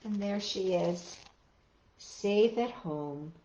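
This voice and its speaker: A woman hosting a storytime for children, reading aloud in an expressive, casual way and putting questions to young listeners. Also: medium pitch, drawn-out words, echoey room, a little hiss or hum, rough recording. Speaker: storytime host reading picture books to children.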